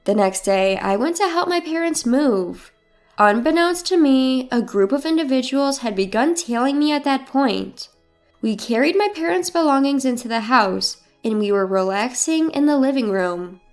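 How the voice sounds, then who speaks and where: High-pitched female story narrator over music. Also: lively intonation, normal speed. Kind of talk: scripted first-person dramatic narration. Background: background music.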